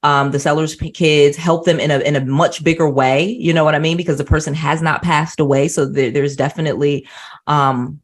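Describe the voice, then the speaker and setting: A woman's voice: sits low, steady tone, fairly quick. A woman hosting a casual interview, talking conversationally with frequent restarts and check-ins like "you know what I mean". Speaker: host.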